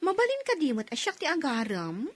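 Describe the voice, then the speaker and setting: High-voiced Ilocano street vendor. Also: female voice, huge pitch swings, brisk. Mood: easygoing, tired. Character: hardworking single woman street vendor, cheerful and persistent. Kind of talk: radio drama acting.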